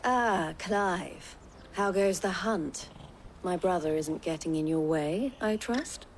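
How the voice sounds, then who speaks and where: An actress playing an assured, shrewd woman who leads a trading caravan in a fantasy game, speaking in formal, gently wry, measured phrases. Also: fairly low pitch, a little hiss or hum. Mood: composed, curious. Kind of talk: scripted fantasy game voice acting. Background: background music.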